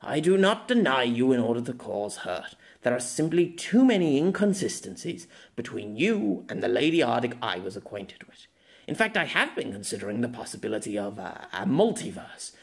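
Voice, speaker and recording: A man voicing a reserved, dry-spoken older gentleman, slightly lower than his narrating voice. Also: moderate pace, gravelly. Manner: dry, flat, grumbling.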